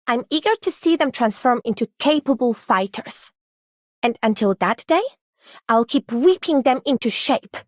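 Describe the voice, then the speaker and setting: A woman's voice: on the high side, dry recording, clean studio sound. A woman voicing a proud, firm combat instructor in a video game. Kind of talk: scripted game character voice lines.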